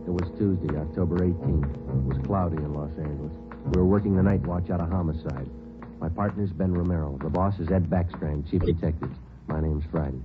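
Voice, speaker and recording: An actor playing a terse, steady police detective sergeant who narrates the case in a clipped, matter-of-fact 1950s radio drama style. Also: male voice, sits low, fairly quick, over loud music, natural voice. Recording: tinny old radio broadcast with hiss.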